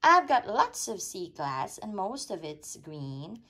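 A woman reading a rhyming children's picture book aloud in an expressive, sing-song storytelling way. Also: mid-range voice, takes it slowly, husky. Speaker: storytime reader.

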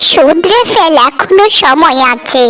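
A woman voicing an old village beggar woman, pleading and preachy in a drawn-out way, in a cartoon. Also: extremely high pitch, expressive. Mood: admonishing. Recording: music behind the voice.

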